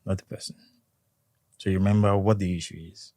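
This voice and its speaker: Young Nigerian man, Nigerian accent, low and warm, calm measured guest. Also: dry recording, clean studio sound. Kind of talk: conversational interview.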